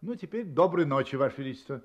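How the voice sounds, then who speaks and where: Shrewd detective with swooping intonation. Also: male voice, on the high side, animated, highs cut off. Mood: businesslike, courteous. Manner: probing, unhurried, ironic interjections. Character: shrewd, composed male private detective questioning a client. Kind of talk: Russian audio drama acting.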